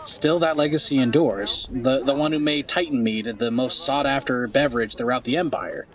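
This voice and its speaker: Slightly distant and dull in sound, a man plays an inquisitive, sentimental fantasy companion. His voice sits at a middling pitch, like an engaged conversational partner in a radio play. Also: moderate pace, a bit bright, close to an everyday voice. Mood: eager, curious. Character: curious, sentimental male listener and companion of the archivist. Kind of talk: fantasy audio drama acting.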